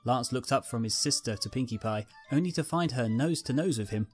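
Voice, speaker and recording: A man's voice: mid-range voice, brisk, faint music behind. A man narrating a scripted fan fiction story.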